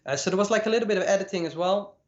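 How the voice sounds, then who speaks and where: A man's voice: high-pitched, very fast. A man from the Netherlands in his late twenties with a Dutch accent, a guest telling his story casually and hesitantly, with many fillers and repeated words. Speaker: guest compositing artist. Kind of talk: casual talk about his career. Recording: echoey, dull lo-fi sound.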